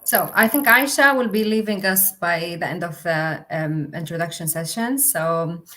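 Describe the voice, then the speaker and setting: A woman's voice: fairly low pitch, moderate pace, sharp tone. A young woman, a university officer, presenting a livestream with many fillers and restarts. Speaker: international officer.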